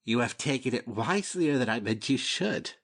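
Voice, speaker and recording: A man's voice: mid-range voice, expressive, moderate pace, creaky. A man playing a cynical, idle-witted nobleman who delivers sneering quips in a Shakespeare audiobook. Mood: mocking. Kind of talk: dramatic Shakespeare audiobook reading.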